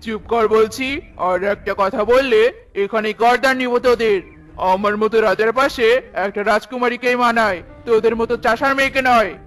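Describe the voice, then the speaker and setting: Guy doing snobby mean cartoon king, high voice, bossy, music under it. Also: moderate pace, fairly bright tone. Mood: contemptuous, commanding. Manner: imperious, scolding, commanding. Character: haughty, cruel king, contemptuous of the poor. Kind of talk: dramatic cartoon voice acting.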